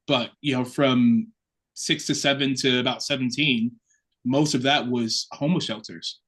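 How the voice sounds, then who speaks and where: A man, an assistant curate telling his story openly in a casual interview. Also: medium pitch. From Chicago, United States. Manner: open, reflective storytelling.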